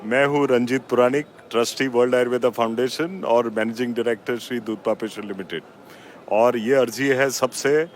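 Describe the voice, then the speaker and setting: A man with an Indian accent giving formal, earnest promotional remarks as an interview guest, switching between Hindi and English in deliberate phrases. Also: mid-range voice. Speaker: foundation trustee and company managing director, interview guest. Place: busy expo grounds.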